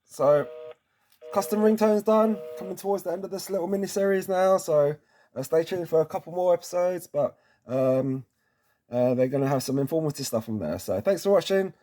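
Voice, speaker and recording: A man's voice: on the high side, lively intonation, normal speed, mellow. A man presenting a casual step-by-step tech tutorial in an informal, chatty way, often checking in with the listener as he goes. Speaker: presenter of a product tutorial series.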